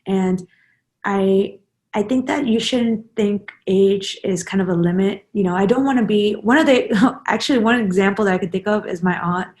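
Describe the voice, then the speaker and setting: A woman's voice: mid-range voice, normal speed, a little mellow. Woman in her 20s, interview guest, loose rambling delivery with lots of ums and restarts. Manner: rambling, many fillers and restarts. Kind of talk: casual interview chat.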